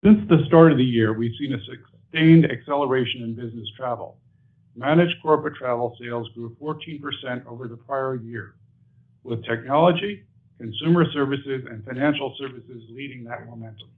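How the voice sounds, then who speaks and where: A man's voice: medium pitch, normal speed. A male airline executive presenting and discussing company results in a businesslike way. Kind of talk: corporate earnings presentation and answers. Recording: narrow muffled conference-call phone audio.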